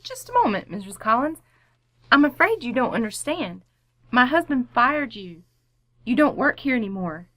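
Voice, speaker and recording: A woman playing a patient, polite and gently teasing wife and mother in an old-time radio comedy. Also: a little high, sing-song, moderate pace, no echo. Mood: gently firm. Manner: polite, soothing, dryly teasing.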